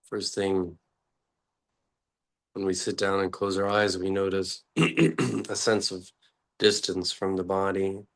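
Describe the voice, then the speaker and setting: A man leading an unscripted guided meditation as a teacher, speaking haltingly in short phrases with long pauses between them. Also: sits low, fairly even intonation, gravelly, a bit bright.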